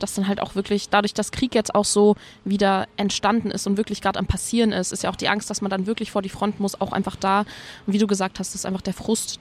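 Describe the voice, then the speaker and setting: A woman's voice: medium pitch, slight background noise. A woman speaking as a reporter and host in a conversational discussion.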